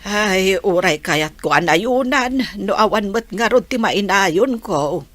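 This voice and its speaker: A woman with an Ilocano accent acting a gentle village family role in a radio drama. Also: medium pitch, expressive, slow, dry recording. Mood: reassuring.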